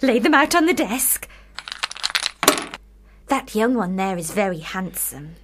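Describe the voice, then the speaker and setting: A woman's voice: mid-range voice, very animated, takes it slowly, heavy background noise. A woman in a radio play playing a precocious, earnest young girl with a prim, formal, childlike way of talking. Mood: eager. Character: young Charlotte Bronte, precocious earnest girl, older sister. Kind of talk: radio drama acting.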